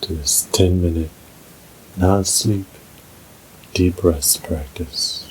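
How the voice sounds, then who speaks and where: A man narrating a scripted guided meditation in a soothing, measured, unhurried way. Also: low-pitched, steady tone, darkish tone, slight background noise, poor audio.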